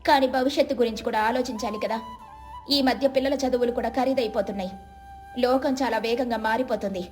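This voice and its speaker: A woman's voice: a little high, steady tone, fairly quick, a little mellow, soft background music, close to an everyday voice. A woman voicing a polite, persuasive, practical daughter-in-law of a village family in a moral story. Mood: reasoning, polite. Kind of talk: moral story character dialogue.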